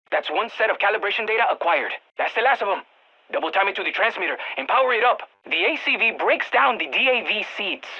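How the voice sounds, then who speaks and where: A man's voice: sits high, sharp tone, breathy edge, highs cut off, exaggerated voice. A man playing an eager, geeky field scientist in a video game, giving precise technical briefings to soldiers over radio comms. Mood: instructive. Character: excitable nerdy male field scientist guiding soldiers by radio. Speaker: mission-support scientist character in a shooter game.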